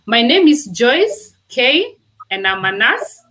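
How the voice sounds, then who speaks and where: A woman's voice: a little high, big pitch swings, slow, sharp tone. A woman from Kenya, a nurse answering interview questions earnestly. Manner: earnest, talks with vivid everyday comparisons. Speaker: nurse and guest.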